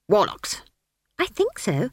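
An earnest young girl voiced by a female audiobook reader pipes up in a raised, lively pitch. She is inquisitive and meek yet stubbornly persistent, a storybook child heard in a dry recording. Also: takes it slowly, husky, dry recording, full character voice. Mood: meek, questioning. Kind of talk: audiobook character voice.

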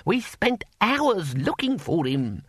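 A man voicing a fussy elderly male wizard academic, speaking slowly and primly. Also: big pitch swings, fairly bright tone, husky, dry recording, clean studio sound, heavily acted. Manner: slow, prim and high-pitched.

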